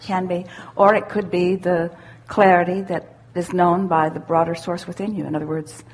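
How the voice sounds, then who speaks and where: A woman giving spiritual self-help teaching to a questioner, assured and deliberate, repeating phrases for emphasis. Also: on the low side, flat delivery, slight background noise. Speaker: speaker leading a question-and-answer workshop.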